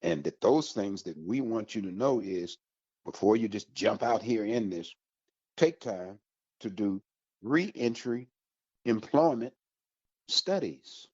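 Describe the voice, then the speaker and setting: Husky low-voiced American man, echoey recording. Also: lively intonation, slow, pauses often, darkish tone. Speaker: radio host. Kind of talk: informational radio talk to veterans. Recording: muffled, roomy, echoey low-fidelity sound.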